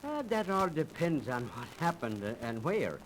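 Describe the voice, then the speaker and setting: A folksy airfield dispatcher in an old 1930s radio play, with a lively, swooping delivery. He talks colloquially and cheerfully over a faintly noisy vintage recording. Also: fairly high pitch, moderate pace. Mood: folksy, reassuring. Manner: colloquial, reassuring, chuckling, hollering. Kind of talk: 1930s radio drama acting.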